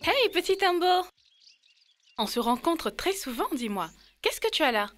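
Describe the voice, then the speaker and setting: High-voiced, sensible cartoon girl. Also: very animated, a little slow, fairly bright tone, faint music behind, exaggerated voice. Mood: teasing. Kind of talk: French-dubbed educational children's cartoon acting.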